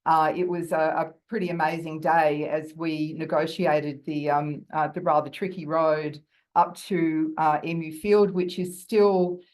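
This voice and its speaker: A woman with a croaky voice giving an academic talk over a video call, thoughtful and full of fillers and restarts. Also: deep voice, flat delivery, measured pace, darkish tone. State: croaky from bronchitis. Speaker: guest lecturer.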